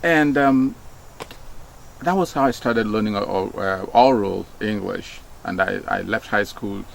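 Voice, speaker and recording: A middle-aged Nigerian man with a low, gravelly voice speaks in an easygoing, reflective way. He fills his pauses with "um" and "you know" and lifts his voice when he drives a point home. Faint background noise with insects sits behind him. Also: poor audio. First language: Nigerian Pidgin. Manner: unhurried, many fillers, rises when stressing a point. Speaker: speaker, former broadcaster. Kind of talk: casual reflective talk.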